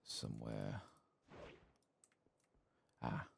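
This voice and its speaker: Very deep, gravelly, soft-spoken man. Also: very animated, slight background noise. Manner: hushed, unhurried, murmuring aloud to himself. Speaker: game streamer, ASMR host. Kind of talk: soft-spoken ASMR gameplay commentary.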